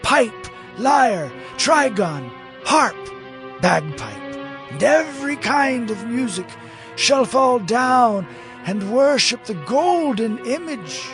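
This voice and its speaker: An actor, a man, playing a scheming accuser at court who flatters the king with drawn-out, theatrical declaiming in a scripture audio drama. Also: very high voice, full character voice. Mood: obsequious accusing. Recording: music under the voice.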